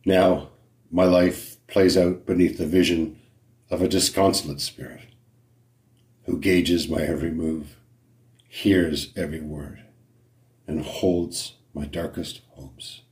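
Slow, very deep-voiced man reciting poetry. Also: steady tone, darkish tone, echoey room. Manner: measured, dramatic pauses between phrases. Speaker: poet.

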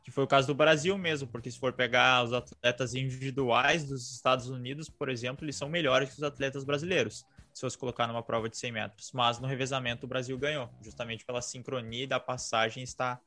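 Brazil guy cohosting a podcast, mid pitch, takes his time, faint music under it. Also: fairly even intonation, fast, few pauses, pushed and bright. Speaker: podcast co-host. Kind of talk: casual podcast chat.

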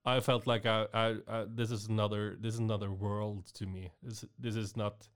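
A man from Norway, hosting a podcast interview in a halting way, with many restarts. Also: fairly low pitch, flat delivery, smooth. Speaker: podcast host. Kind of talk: conversational interview.

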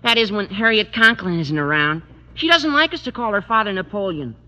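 A man playing an eager, slangy teenage schoolboy with a squeaky voice in an old radio sitcom. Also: animated, brisk, bright, forceful tone, full character voice. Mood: chatty, curious. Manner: gee-whiz eagerness. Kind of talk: 1950s radio sitcom acting. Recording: dull old radio recording.

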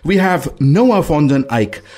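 A man's voice: a little high, lively intonation, takes it slowly, mellow. A man co-hosting a podcast, introducing segments and guests in an easygoing, announcer-like way. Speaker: podcast co-host. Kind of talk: radio-style podcast hosting.

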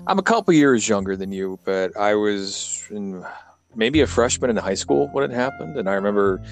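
A man's voice: on the low side, animated, normal speed, creaky. A man in his mid thirties with an American accent hosts an informal interview. He often stammers into his sentences and talks casually. Faint music plays behind him. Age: mid 30s. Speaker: podcast host, interviewer.

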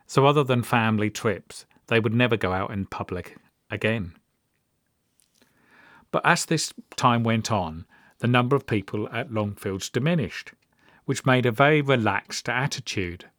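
A man's voice: medium pitch, expressive, unhurried, a bit bright, dry recording. A middle-aged British man telling personal stories as a podcast host, with a dry, wry, understated manner. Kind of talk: personal storytelling monologue.

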